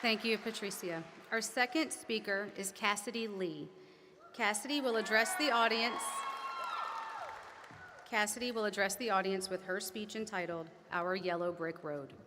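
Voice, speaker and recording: A woman's voice: medium pitch, moderate pace. A woman introduces speakers at a ceremony in a formal, announcing manner. She sounds far off in a reverberant hall, and heavy crowd noise and hiss nearly cover her. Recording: distant voice in loud echoey hall.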